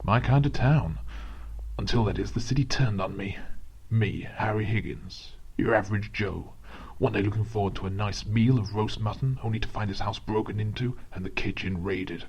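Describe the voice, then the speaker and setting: A man's voice: lowish voice, normal speed, darkish tone, slightly rough, muffled recording. An actor playing a melodramatic hard-boiled London everyman in a noir-parody radio play. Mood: brooding, noir. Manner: dramatic, brooding.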